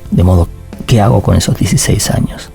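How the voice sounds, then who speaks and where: Low gravelly-voiced man, measured and thoughtful. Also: mellow, soft background music. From Argentina. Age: 40s. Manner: measured, thoughtful, with many fillers. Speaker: novelist guest. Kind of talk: reflective interview answers.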